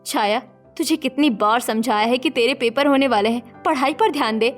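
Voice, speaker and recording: A woman's voice: high-pitched, normal speed, faint music behind, natural voice. A woman voicing a sensible, protective young married elder sister in a cartoon story, firm and admonishing. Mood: firm, advising. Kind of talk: Hindi cartoon story dialogue acting.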